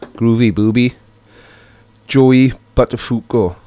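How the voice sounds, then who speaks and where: A man's voice: mid-range voice, steady tone, slow pace, warm tone, no echo. A middle-aged man from Wales with a Welsh accent, casually chatting and reading viewer comments aloud on a livestream. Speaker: livestream host.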